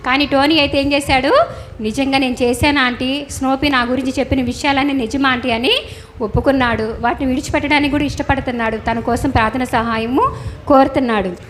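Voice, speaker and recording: High-voiced woman teaching children. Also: steady tone, a little fast, fairly bright tone. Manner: instructive, questioning, addressing children. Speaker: Sunday school teacher hosting puppet show. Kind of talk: children's moral lesson with puppet dialogue. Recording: clear background noise, ambient sound.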